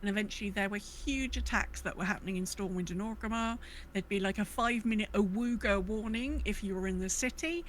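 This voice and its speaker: A woman's voice: medium pitch, a little fast, slight background noise, rough recording. A British woman co-hosting a podcast, chatting casually and informally about a video game. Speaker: podcast co-host.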